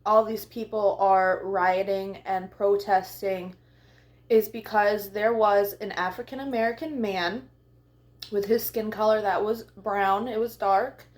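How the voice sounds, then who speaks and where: Woman, children's storytime host, medium pitch, unhurried pace, simple deliberate phrasing for young listeners. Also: fairly even intonation. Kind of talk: read-aloud and talk addressed to young children.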